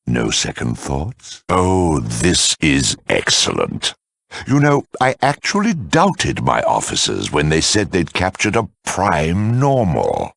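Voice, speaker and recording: A hulking-sounding super mutant villain from a video game, with an abyssally deep, husky growl. He speaks slowly and theatrically, savoring his mock courtesy. The cruelty sits under a polite, sardonic surface. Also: fairly bright tone, dry recording, studio quality, heavily acted. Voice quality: gravelly, raspy.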